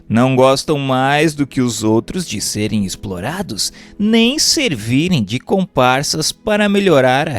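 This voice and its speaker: A man with a Brazilian accent reading a doctrinal text aloud as a narrator, in a formal, didactic way. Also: mid-range voice. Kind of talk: scripted audiobook-style narration of a doctrinal text.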